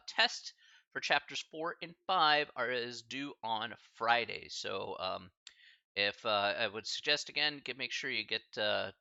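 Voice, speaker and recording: A man lecturing a math class over a live stream, loose and chatty, full of "uh" and friendly slang. His voice is very bright and lively, swinging up and down in pitch. The sound is dry and a little dull, with no room around it. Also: no room sound. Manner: casual, chatty, filler-heavy with slang asides. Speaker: math instructor.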